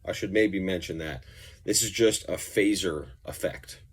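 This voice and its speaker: A man's voice: low voice, a little slow, slightly rough. A man giving a casual, off-the-cuff effects pedal demonstration as a musician, talking in an informal, rambling way. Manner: informal, rambling, mildly profane.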